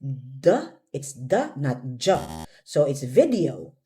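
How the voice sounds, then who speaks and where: Woman teacher with swooping, lively pitch. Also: slow, slight background noise. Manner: instructive, short prompts and corrections. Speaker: English pronunciation teacher. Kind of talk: one-on-one pronunciation drill, modelling words and sentences.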